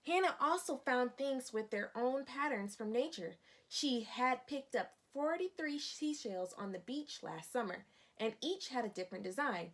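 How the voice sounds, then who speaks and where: A woman's voice: high voice, expressive, moderate pace. A woman reading a picture book aloud like a teacher, guiding young children and putting questions to them. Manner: instructive, addressing young children.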